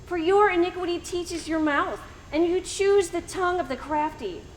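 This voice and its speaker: Very high-pitched woman as scolding biblical accuser. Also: normal speed, a little hiss or hum. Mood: accusing. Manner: scolding, declamatory. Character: Eliphaz, accusing, reproachful friend of a sufferer. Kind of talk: amateur church Bible drama.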